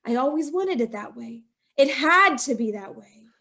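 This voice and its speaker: A woman's voice: high-pitched, lively intonation, moderate pace, sharp tone, heavily acted. A woman playing a scheming, guilt-ridden young wife who confesses to murder in feverish, insistent old-time radio style. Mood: frenzied obsession. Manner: feverish, melodramatic, insistent repetition.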